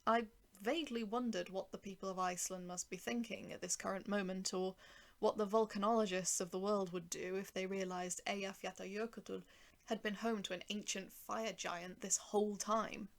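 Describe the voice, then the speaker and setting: A woman narrating a first-person short story in an expressive, deliberate, dramatic manner with pauses for effect. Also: mid-range voice.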